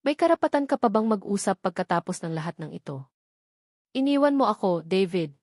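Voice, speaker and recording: A woman's voice: mid-range voice, big pitch swings, a little fast, a little mellow, dry recording. A woman narrator plays a young policewoman mother in an audio love story. She is wounded and accusing, pressing her questions with raw emotion in a dry, close recording. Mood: angry, hurt. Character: distraught young policewoman mother, hurt and angry.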